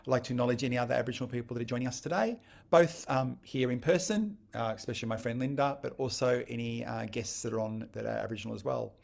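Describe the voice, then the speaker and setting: Gravelly Australian man hosting a podcast. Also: mid-range voice, fast. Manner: relaxed, friendly prompting questions. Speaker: podcast host. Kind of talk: casual interview chat.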